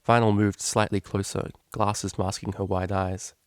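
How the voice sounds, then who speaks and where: Male story narrator, lowish register, recorded dry and close. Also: level tone, measured pace, smooth, dry recording. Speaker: narrator, fan fiction reader.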